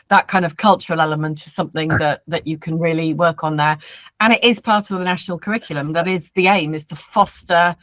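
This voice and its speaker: A woman's voice: fairly low pitch, a bit bright, no room sound. A woman co-hosting an online teaching event, explaining points in a chatty, informal way. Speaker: online education event speaker and co-host. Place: online event, dry sound. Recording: dull, dry online call audio.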